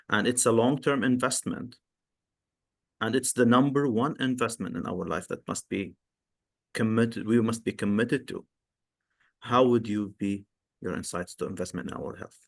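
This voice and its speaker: A man hosting a podcast interview, asking polite, encouraging and long, winding questions. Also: mid-range voice. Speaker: podcast host.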